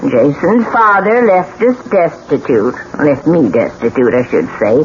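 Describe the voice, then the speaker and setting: A woman's voice: low-pitched, animated, unhurried. An actress playing a bitter, taunting old widow in a radio drama, needling and ominous in her delivery. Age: older. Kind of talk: 1970s radio drama acting. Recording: dull, noisy old radio broadcast.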